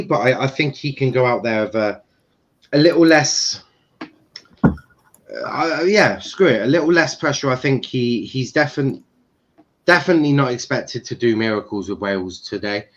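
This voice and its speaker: A man's voice: mid-range voice, lively intonation, normal speed, a bit bright. A young man hosting a casual football commentary stream, rambling with fillers and swearing. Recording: dull lo-fi stream audio.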